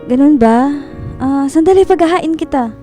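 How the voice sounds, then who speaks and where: High-voiced, mellow young wife. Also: female voice, lively intonation, moderate pace. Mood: caring, subdued. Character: devoted housewife in her thirties, sweet but suspicious. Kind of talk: radio drama acting. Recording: music bed behind the voice.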